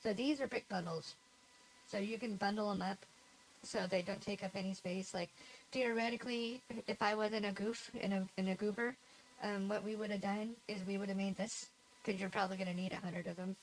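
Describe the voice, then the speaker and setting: A woman's voice: medium pitch, steady tone, fairly quick, a little hiss or hum, poor audio. A woman livestreaming a game, talking casually in a nasal voice, rambling and giggling at herself. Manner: rambling, giggly, self-deprecating. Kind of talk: casual gameplay chat.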